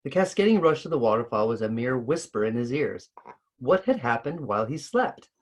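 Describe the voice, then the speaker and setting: A man reading a children's story aloud with expressive narration. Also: mid-range voice, brisk. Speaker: story reader.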